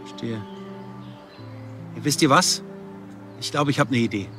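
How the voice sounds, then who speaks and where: A man playing a gentle police officer who coaxingly questions children in colloquial speech. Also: mid-range voice, unhurried, loud music behind the voice, close to an everyday voice. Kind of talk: TV crime comedy acting.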